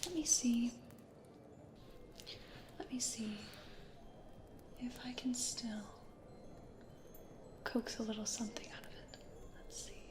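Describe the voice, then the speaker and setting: A woman playing a gentle, anxious, plant-loving American ghost from the 1800s in a soft, hesitant, breathy whisper for an ASMR roleplay. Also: fairly high pitch, level tone, slow pace, long pauses. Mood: tender, proud. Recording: roomy echo, audible background noise.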